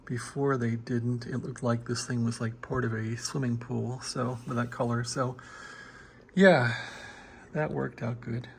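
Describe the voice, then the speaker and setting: A man giving a casual, rambling show-and-tell as a hobbyist, laid-back and halting as he thinks aloud. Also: mid-range voice, fairly even intonation, creaky, a little hiss or hum. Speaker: hobbyist showing a restored radio receiver.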